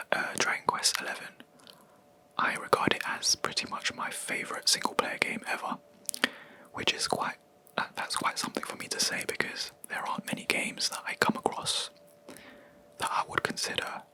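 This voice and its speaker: Very bright, crisp whisper. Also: moderate pace, poor audio. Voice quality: soft unvoiced whisper throughout. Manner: rambling, hesitant, with many ums and self-corrections. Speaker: video creator. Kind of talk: whispered ASMR gaming chat.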